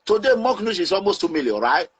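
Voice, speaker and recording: A Nigerian man speaking in a forceful, preaching way as he gives advice to his online audience. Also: high voice, very animated, fast talker, slightly rough.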